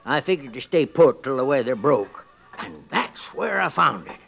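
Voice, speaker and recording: An actor playing a toothless, stubbornly hopeful old prospector in a 1950s radio western, gravelly and rambling in a folksy American frontier drawl. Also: male voice, medium pitch, sing-song, moderate pace, a bit bright. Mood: boastful, insistent. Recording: noisy 1950s radio recording.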